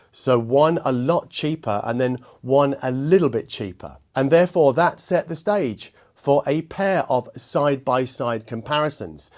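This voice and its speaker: A man with a mid-pitched voice presents an equipment review. He speaks in a measured, articulate way and chooses his words with precision, addressing viewers as the host. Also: a little mellow. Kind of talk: spoken product review to viewers.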